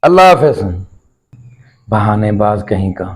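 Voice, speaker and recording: An actor playing a callous, irritable grown son in an audio drama, curt and unfeeling. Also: male voice, lowish voice, sing-song. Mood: scornful. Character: callous, irritable grown son begrudging his old father. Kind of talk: Urdu moral audio drama acting.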